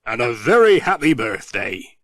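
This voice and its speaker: A man's voice: mid-range voice, big pitch swings, moderate pace, no echo, pitched below the performer's own voice. A man voicing a genial, knowing middle-aged hill farmer in a storybook reading.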